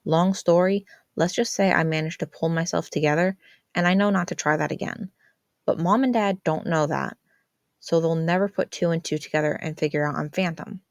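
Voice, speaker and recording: A woman's voice: low voice, moderate pace, dry recording, studio quality. A woman playing a sheepish, evasive teenage boy in a story, talking casually with some hesitance and defensiveness. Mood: confident, casual.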